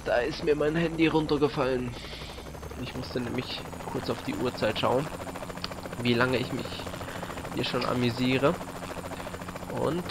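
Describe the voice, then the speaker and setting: Young guy doing lets play commentary, rambly n bit halting, super noisy game gunfire behind him. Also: medium pitch, creaky. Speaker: let's play host. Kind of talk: casual gameplay commentary. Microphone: headset. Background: video game gunfire, vehicles, helicopter.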